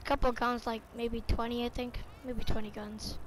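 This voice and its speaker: An excitable, slangy player chatting casually in character during a crime roleplay game. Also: mid-range voice, moderate pace. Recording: clearly noisy game audio with vehicle sounds. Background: game vehicle and street noise.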